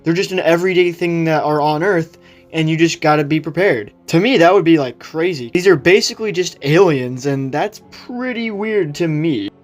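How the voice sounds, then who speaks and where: A man's voice: fairly high pitch, lively intonation, normal speed. A man hosting a video, giving opinionated, conversational commentary in a casual explainer style. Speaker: video host. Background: background music.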